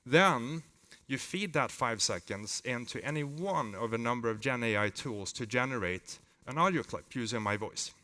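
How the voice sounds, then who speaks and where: A man with a Swedish accent giving an informal, halting conference presentation as a company founder. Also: mid-range voice, lively intonation, sharp tone. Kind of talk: live conference talk with demos. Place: conference hall with long reverb. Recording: reverberant hall sound.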